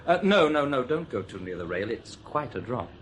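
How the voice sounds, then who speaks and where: An actor playing an urbane, teasing, over-polite man with a husky voice and an air of menace, in a radio play. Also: mid-range voice, big pitch swings, normal speed, fairly bright tone, a little hiss or hum. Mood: suave, teasing. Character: suave, teasing, quietly menacing male host who knows too much.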